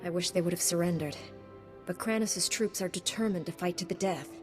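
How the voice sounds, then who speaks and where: An actress playing a resolute, compassionate knight heroine in a video game, composed and firm. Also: female voice, lowish voice, brisk, close to an everyday voice. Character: resolute, compassionate female Jedi knight hero. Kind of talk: scripted video game acting. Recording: music behind the voice.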